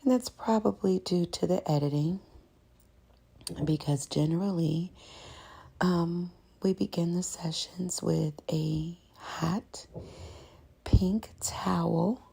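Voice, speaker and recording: A woman's voice: on the low side, slow pace, gravelly, long pauses, mellow, slightly rough. A woman giving a casual, chatty and welcoming ASMR voiceover as the host of the channel. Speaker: host and narrator of an ASMR scratch-therapy channel.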